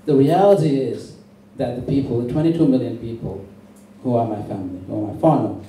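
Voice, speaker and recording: A man's voice: mid-range voice, dark tone. A man from Sri Lanka giving an impassioned political speech at a public meeting, emphatic and intense. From born in Sri Lanka. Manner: emphatic, intense, deliberate rhetoric. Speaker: campaign speaker at a public meeting. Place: indoor public meeting venue. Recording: very echoey, distant, faintly noisy hall recording.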